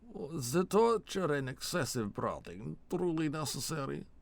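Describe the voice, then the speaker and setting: A man's voice: fairly high pitch, very animated, unhurried, creaky, voice pushed up, exaggerated voice. A man voicing a bulky, bearded tracker, squeamish and mildly reproachful, with formal wording and a thick put-on accent. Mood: disapproving. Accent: put-on thick fantasy accent.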